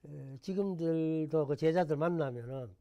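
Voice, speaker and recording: An older man from the Gyeongsang region with a Gyeongsang accent, a guest telling stories about himself in a relaxed talk-show interview, with chuckles and self-deprecating humor. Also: a little high, unhurried, lo-fi sound. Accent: Gyeongsang Korean. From Gyeongsang province, Korea. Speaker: guest, former education official.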